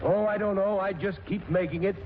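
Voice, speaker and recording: A man acts out a droll government clerk in an old radio comedy. His voice is high and he explains things obligingly, a little befuddled. The sound is thin, like a phone line, with noise. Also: fairly even intonation, brisk, natural voice. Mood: droll, explaining. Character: obliging, slightly befuddled government money-printing official. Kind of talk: 1940s radio comedy acting. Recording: tinny, noisy 1940s radio recording.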